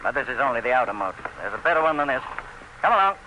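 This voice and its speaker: A man acting as a sardonic, supremely confident plantation boss in a radio drama, with a brisk, cocky, commanding manner. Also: medium pitch, very animated, sharp tone. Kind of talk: theatrical radio drama dialogue. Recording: noisy radio drama recording with ambience.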